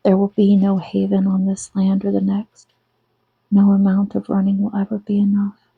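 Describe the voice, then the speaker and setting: A woman reading her own novel aloud in a soft-spoken ASMR style, slow and intimate, slipping into whispers. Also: medium pitch, completely flat delivery, creaky, husky, dry recording. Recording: dull lo-fi sound, close and dry.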